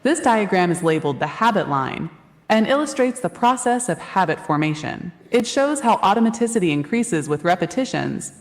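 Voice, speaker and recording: A synthetic female voice playing an AI assistant, answering questions in a calm, informative way during a stage demo. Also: mid-range voice, normal speed. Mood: helpful. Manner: even, informative delivery. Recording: long hall reverb.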